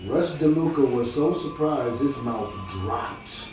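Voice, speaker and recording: A man reading a storybook aloud in an encouraging, conversational manner, pausing to check that the listener follows. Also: medium pitch, lively intonation. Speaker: storybook reader. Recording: very echoey, distant mic, music behind.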